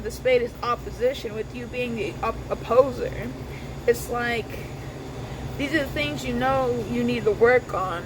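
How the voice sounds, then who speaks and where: A man with a high voice giving a casual tarot reading at work, chatty, swearing now and then, repeating himself. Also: noisy background. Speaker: tarot reader.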